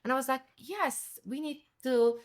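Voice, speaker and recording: A middle-aged woman from Jordan, a restaurant owner and chef, speaking English as an in an animated, conversational interview. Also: high-pitched, unhurried. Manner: animated, quotes others with raised voice.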